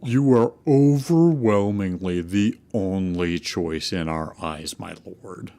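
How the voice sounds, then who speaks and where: A man playing a grave, loyal lieutenant who speaks to his admiral in a hushed, deferential way in a fan fiction reading. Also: sits low, takes it slowly, pitched below the performer's own voice. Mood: earnest, hushed.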